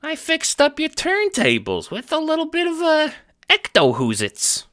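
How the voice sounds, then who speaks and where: A performer voicing a manic, eager-to-please puppet sprite in an audio drama, with a shrill cartoonish squawk. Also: huge pitch swings, unhurried, a bit bright, full character voice. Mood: excited, proud. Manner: gleeful, fawning, calls listener boss.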